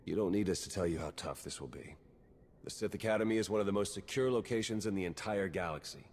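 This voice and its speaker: An actor playing a brash, earnest spy agent in a sci-fi video game, talking in a wry, intense, informal way. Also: male voice, deep voice, fast talker, a little mellow, big-room sound. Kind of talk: video game cutscene acting.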